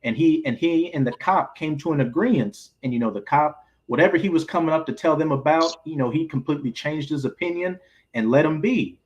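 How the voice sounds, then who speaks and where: A man's voice: a little high, brisk, darkish tone, dull audio. A man leading an informal group Bible study, explaining scripture in colloquial, streetwise language.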